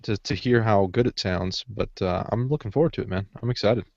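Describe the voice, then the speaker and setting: A man's voice: on the low side, a little fast, creaky, a little mellow, no echo. A man co-hosting a podcast in informal, easygoing, casual conversation. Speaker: podcast co-host. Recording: slightly dull, lo-fi audio.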